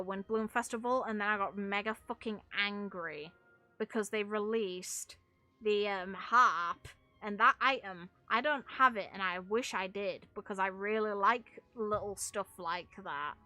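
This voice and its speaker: A woman's voice: mid-range voice, sharp tone. A woman streamer giving casual, opinionated gaming commentary in a blunt, emphatic, sweary way. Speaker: game streamer and video creator.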